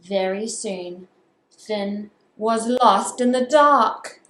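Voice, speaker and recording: A woman reading a children's story aloud as a storyteller, with expressive, drawn-out dramatic phrasing. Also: mid-range voice. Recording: echoey room with faint background noise.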